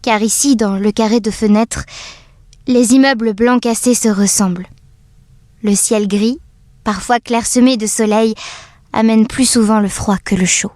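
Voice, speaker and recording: An actress playing a dreamy, wistful doll who tells her own story in measured, poetic narration for an audio drama. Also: fairly high pitch, steady tone, a little mellow, no room sound, studio quality, lightly acted. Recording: music under the voice. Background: music.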